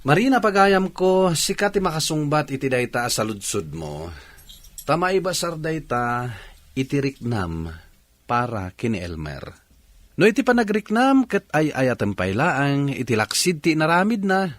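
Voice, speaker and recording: Male radio host: Ilocano accent, scripted narration, lively intonation, dry close sound. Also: on the high side, moderate pace, dry recording. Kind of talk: scripted advice and drama narration.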